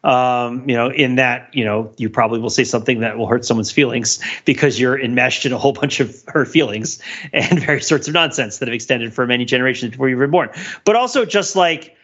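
A man's voice: mid-range voice, fairly quick, fairly bright tone, highs cut off. A man co-hosting a casual podcast discussion, rambling and interrupting himself as he thinks aloud. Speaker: podcast host.

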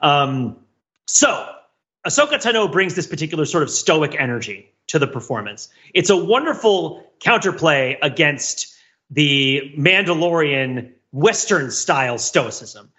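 A man's voice: on the high side, sing-song, unhurried, pushed and bright, highs cut off. A man hosting a casual pop-culture discussion podcast, talking emphatically and repeating phrases for effect. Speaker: podcast host.

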